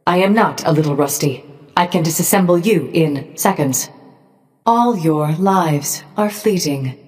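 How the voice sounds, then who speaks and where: An actress voicing a cold, cruel robotic Medusa in a video game, with a deliberate, threatening delivery. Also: female voice, low-pitched, darkish tone. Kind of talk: scripted video game voice lines. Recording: long reverb tail.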